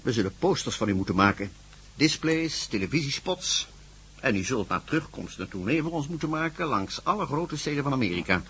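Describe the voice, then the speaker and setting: An actor playing a blunt, hard-selling company boss in a radio play, with a husky voice. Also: male voice, medium pitch, lively intonation, brisk, a bit bright, a little hiss or hum, close to an everyday voice. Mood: salesmanlike. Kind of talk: radio drama acting.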